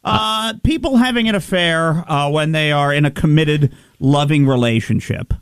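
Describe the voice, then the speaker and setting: A man's voice: on the high side, moderate pace, clean tone, dry recording. A man in his forties co-hosting a morning radio show, wry and chuckling. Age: 40s. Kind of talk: casual morning radio banter. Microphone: studio microphone.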